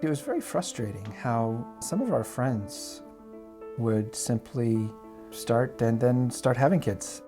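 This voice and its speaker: A man's voice: medium pitch, lively intonation, takes it slowly. A middle-aged man reminiscing conversationally about his own life in a documentary interview. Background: guitar music.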